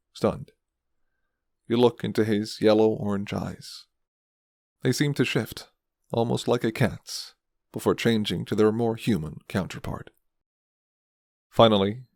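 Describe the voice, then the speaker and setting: A man reading scripted second-person ASMR narration in a soft, measured storytelling manner. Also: fairly low pitch, creaky, dry recording, clean studio sound.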